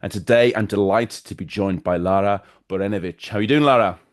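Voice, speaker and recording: Low-voiced male podcast host. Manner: affable, quick-talking, brief encouraging interjections. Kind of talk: podcast interview.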